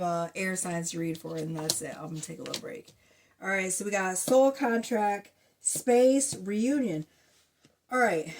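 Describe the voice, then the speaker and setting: Woman, tarot reader, casual chat, blunt, swears a lot, sore hoarse throat, mid pitch. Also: slight background noise. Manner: blunt, profane, chatty. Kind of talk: casual tarot reading to camera.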